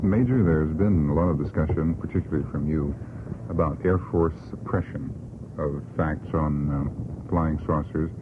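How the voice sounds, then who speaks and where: A man with an extremely deep voice speaks on a radio panel. He is dry and measured. The old recording is narrow like a phone line, with clear noise behind him. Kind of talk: unscripted radio panel discussion. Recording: muffled, noisy vintage radio broadcast.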